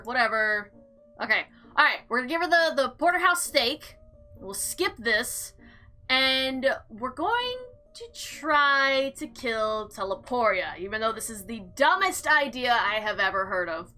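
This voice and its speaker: A woman gives expressive commentary on a game she is playing. Her voice is slightly high and bright, with very lively pitch. She is informal and theatrical as she reads and reacts. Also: unhurried, faint music behind. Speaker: gaming video host. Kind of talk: game playthrough commentary with dramatic read-aloud.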